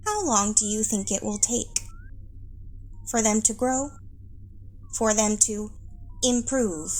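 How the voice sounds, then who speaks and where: A woman playing an earnest, dismayed alien underling in a satirical sci-fi audio play, with a deliberate, dramatic delivery. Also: mid-range voice, animated. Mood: puzzled. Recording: loud music behind the voice.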